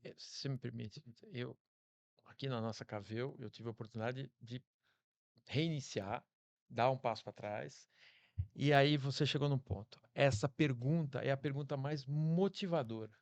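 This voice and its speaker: A man's voice: medium pitch, normal speed, creaky, darkish tone, no echo, lo-fi sound. A man with a Brazilian Portuguese accent, co-hosting an interview in a casual, reflective way. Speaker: podcast co-host, interviewer.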